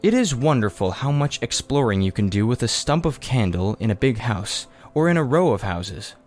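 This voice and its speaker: A man reading a children's story aloud in expressive narration. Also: mid-range voice, moderate pace, soft background music. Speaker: audiobook reader. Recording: faint background noise.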